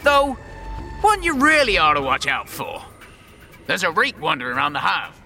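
High-voiced, swooping rat catcher. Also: male voice, huge pitch swings, normal speed, bright, forceful tone, faint music behind, heavily acted. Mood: gruff, conspiratorial. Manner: slangy, cagey, brusque. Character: wary, streetwise male rat catcher in fantasy slum. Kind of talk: fantasy audio drama acting.

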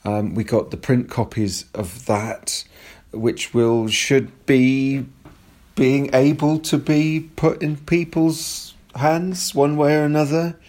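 A man's voice: mid-range voice, fairly even intonation, slow, darkish tone. A man leaving a casual, rambling voice message, hesitant and often repeating himself as he goes. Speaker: caller leaving a voice message to a podcast.

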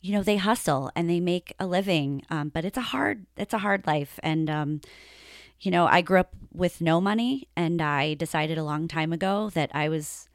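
A woman's voice: fairly low pitch, moderate pace, no room sound, studio quality. A woman hosting an interview over a video call, chatty, with many fillers and frequent laughs. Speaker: podcast host. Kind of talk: casual interview chat.

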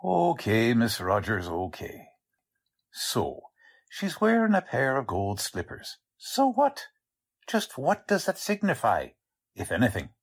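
A male actor plays a gruff police sergeant in a 1940s-style radio drama. His husky voice takes its time but swings widely in pitch. He is blunt, skeptical and talks in folksy cop slang. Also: huge pitch swings, takes it slowly, full character voice. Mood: exasperated. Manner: blunt, folksy working-class cop talk.

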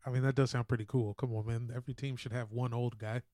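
A man's voice: mid-range voice, little pitch movement, quick pace, warm tone, no room sound, clean studio sound. A man co-hosting a podcast in casual sports chat, with a flippant, slangy way of talking. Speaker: podcast co-host.

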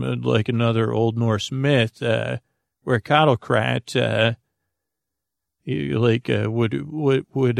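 A man with a heavily creaky, gravelly voice talks as the host of a bedtime podcast. He rambles and halts, goofily drifting off on tangents as he improvises. The sound is dry and close, at a middling male pitch. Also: no room sound. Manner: meandering, halting, goofy, trails into tangents.

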